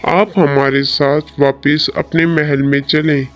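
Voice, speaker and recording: A man's voice: a little high, flat delivery, slow, dull audio. A man voicing a loyal, worried royal soldier in a storybook tale, formal and deferential toward his king. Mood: urging. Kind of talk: storybook dialogue. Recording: music behind the voice.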